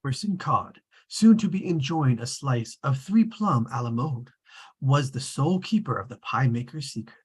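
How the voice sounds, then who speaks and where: A very husky narrator read by a performer at a table read tells a storybook tale. The delivery is measured and formal, with dry precision and an omniscient air. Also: medium pitch, animated. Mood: measured, wry.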